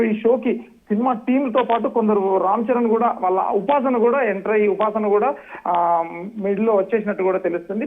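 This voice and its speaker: A man giving a wordy, unscripted live news report as a reporter over a phone call. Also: high-pitched. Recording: tinny narrow phone line.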